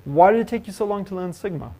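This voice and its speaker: A man's voice: high voice, fast. Man lecturing a math class, questioning. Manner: lively, asks the class questions and jokes. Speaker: math professor. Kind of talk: university lecture. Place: lecture hall.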